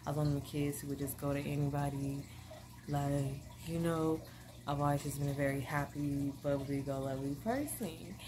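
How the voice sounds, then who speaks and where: A young woman talks to her camera at home in a low, relaxed register, bubbly and chatty, wandering through her thoughts with plenty of fillers. A steady hiss of background noise sits under the voice. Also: moderate pace. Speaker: vlogger. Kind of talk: casual chat to camera. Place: small living room at home.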